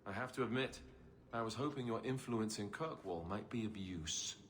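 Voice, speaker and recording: Lowish-voiced, self-deprecating king, played by a man. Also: normal speed, a little hiss or hum, close to an everyday voice. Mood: candid, dry. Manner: wry, hesitant, informal. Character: affable, self-deprecating young king with dry humour. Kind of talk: video game cutscene acting.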